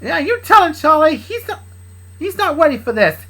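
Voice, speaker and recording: A woman voicing an excitable male friend who eagerly cheers someone on. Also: sits high, normal speed, voice pushed up, heavily acted. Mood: cheering.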